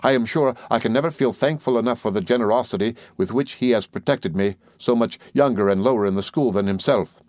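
A man's voice: medium pitch, brisk, few pauses, darkish tone, no room sound, close to an everyday voice. An earnest young schoolboy read by a grown man speaks politely. He is eager and effusive in his admiration, with a dry, close storybook sound.

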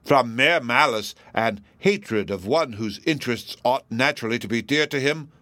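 A man voicing a pompous, menacing elderly judge in a classic novel audiobook, speaking in a formal, measured, self-righteous way. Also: mid-range voice, animated, a bit bright, no echo, voice pushed up, natural voice. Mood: cold threat.

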